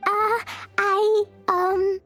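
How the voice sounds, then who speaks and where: A woman's voice: very high voice, flat delivery, extremely slow, fairly bright tone, faint music behind, heavily acted. A woman voicing a sweet, naive and mischievous little girl in a video game, innocent and childlike. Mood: flustered, guilty. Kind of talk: animated video game voice acting.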